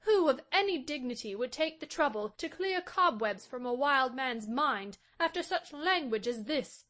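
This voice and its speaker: A man reading an audiobook voices a proud, defiant young wife, speaking softly and scornfully. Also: very high voice, lively intonation, normal speed, pitched above the performer's own voice, exaggerated voice. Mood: bitter. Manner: soft, hushed and scornful. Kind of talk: audiobook reading of a classic novel.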